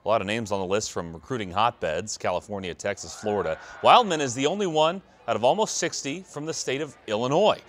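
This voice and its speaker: A man's voice: mid-range voice, a little fast, hardly any pauses, a bit bright. An American man reading scripted sports news as a television anchor.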